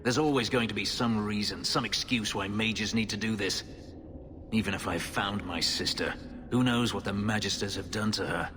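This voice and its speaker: Deep, grave ex-slave elf warrior; controlled, clipped; reverberant game audio with music. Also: male voice, normal speed, bright, forceful tone, a little hiss or hum. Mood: bitter. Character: brooding elven male ex-slave warrior, bitter. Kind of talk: video game voice acting.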